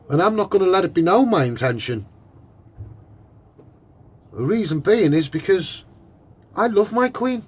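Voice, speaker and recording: High-pitched, husky Scouse man speaking his mind. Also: fairly bright tone. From Liverpool, England. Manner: emphatic, rambling, repeats phrases. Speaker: online broadcaster and activist. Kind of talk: off-the-cuff opinionated monologue.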